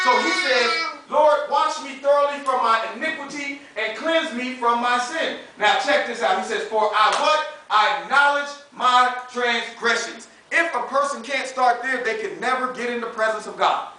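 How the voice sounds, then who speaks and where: High-voiced American preacher in an echoey room. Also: male voice, moderate pace, hardly any pauses, sharp tone. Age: 30s. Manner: emphatic, colloquial, uses rhetorical questions and acted-out dialogue. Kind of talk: animated, conversational sermon. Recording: echoey room, distant mic, faint noise.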